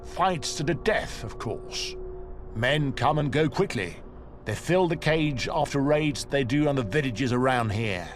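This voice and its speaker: An actor playing a cynical, resigned prisoner in a video game, wry and matter-of-fact. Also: male voice, medium pitch, animated, unhurried, music in the background, natural voice. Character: cynical, resigned seasoned male prisoner in a cage. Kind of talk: dramatic video game acting.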